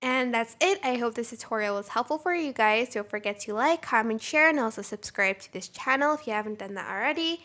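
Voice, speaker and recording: A woman hosting a casual software tutorial, talking in an informal, chatty way. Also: fairly high pitch, lively intonation, a little fast, hardly any pauses. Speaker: tutorial host.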